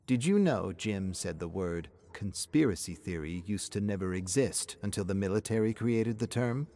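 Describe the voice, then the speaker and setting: Guy reading as a grieving middle-aged woman in a story, low voice, halting, wistful, kinda bitter. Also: animated, mellow, slight background noise. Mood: bitter, wry.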